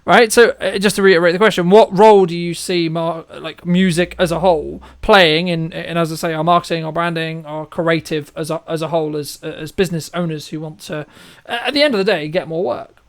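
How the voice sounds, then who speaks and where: High-voiced, clear man hosting an interview. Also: fairly even intonation, brisk. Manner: conversational, wordy, rephrases his questions. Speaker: interviewer, host and filmmaker. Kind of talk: casual interview chat.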